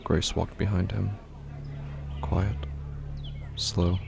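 A man narrating a scripted horror audio drama in a hushed, halting way that often drops into whispers. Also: low-pitched, flat delivery. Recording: clear background noise and ambience.

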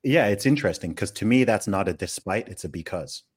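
Deep-voiced, emphatic male podcast host. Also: animated, brisk, slightly rough. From born in the UK, grew up in Saudi Arabia. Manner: opinionated, emphatic, repeats words and often tags points with "right?". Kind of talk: conversational podcast discussion.

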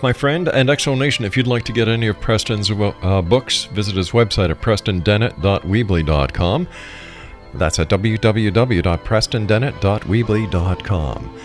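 A man hosts a radio interview in a fairly low voice. His phrasing is that of a polished broadcaster, with some music faintly behind him. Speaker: radio show host.

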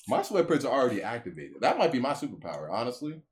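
A man's voice: mid-range voice, very animated, fast. A man in his twenties hosting a podcast, chatting casually and laughing often. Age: 20s. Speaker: podcast host. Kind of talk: casual chat.

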